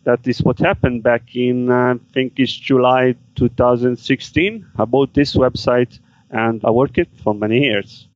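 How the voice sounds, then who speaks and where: A man answers questions in halting, careful English. The audio is dull and muffled, like a remote call, and very dry. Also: mid-range voice, steady tone, no echo. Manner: halting non-native English with fillers. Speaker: guest, business seller. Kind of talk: interview answers.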